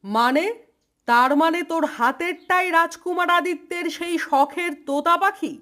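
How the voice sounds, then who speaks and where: A woman's voice: very high voice, normal speed, bright, forceful tone. A woman voicing an imperious, scheming queen in a cartoon, with a haughty, commanding manner. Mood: surprised, suspicious.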